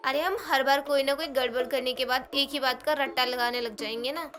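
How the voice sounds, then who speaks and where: A woman voicing a scheming, persuasive young daughter-in-law, coaxing and insistent, in an animated moral story. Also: high voice, brisk, lightly acted. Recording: music behind the voice.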